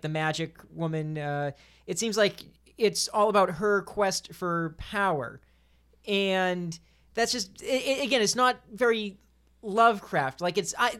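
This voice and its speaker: A podcast speaker in a casual discussion, halting and thoughtful, often rephrasing. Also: medium pitch, fairly bright tone. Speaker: podcast participant. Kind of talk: casual discussion and critique of fiction.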